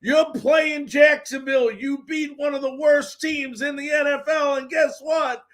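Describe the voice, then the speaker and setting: A man's voice: very high-pitched, fairly even intonation, a little slow, fairly bright tone. A man hosting a live sports talk show, recapping games and addressing viewers in an emphatic, folksy way.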